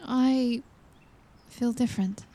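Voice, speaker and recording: A woman acting in an audio drama as an earnest young princess in training, speaking with hesitant wonder and deliberate phrasing. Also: on the high side, fairly even intonation, warm tone. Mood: wondering, focused. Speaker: voice actor.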